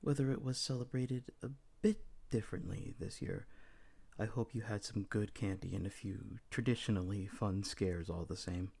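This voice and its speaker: A man's voice: fairly low pitch, moderate pace, vocal fry, dark tone, husky. A man speaking casually to his listeners as the host of an audio channel.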